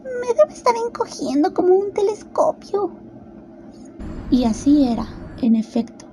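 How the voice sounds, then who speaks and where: A woman narrates a storybook tale expressively in a high voice. Her tone is very soft and dark-edged, with faint noise in the recording. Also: poor audio.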